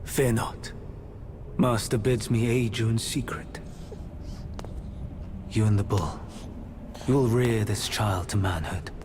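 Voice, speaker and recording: An actor playing a calm, secretive envoy of a divine master, speaking in a measured, persuasive way in a game cutscene. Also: male voice, fairly low pitch, background noise. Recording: music behind the voice.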